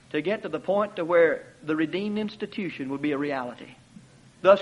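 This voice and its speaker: A man delivering a sermon in a high voice, driving his points home through repetition and pointed rhetorical questions. The recording is dull and lo-fi. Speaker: preacher.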